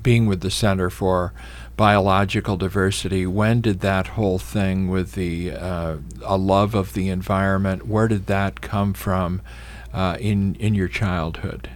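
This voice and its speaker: Low-voiced male radio host. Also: flat delivery, unhurried, hardly any pauses. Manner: easygoing, chatty, filler-heavy questions. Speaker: radio talk show host. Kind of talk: radio interview.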